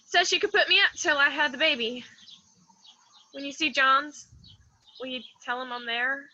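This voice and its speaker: Sharp, high-voiced young mountain woman, birdsong behind. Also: muffled recording, a little hiss or hum, full character voice. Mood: wistful. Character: proud, heartsick young pregnant mountain woman. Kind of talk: radio drama acting.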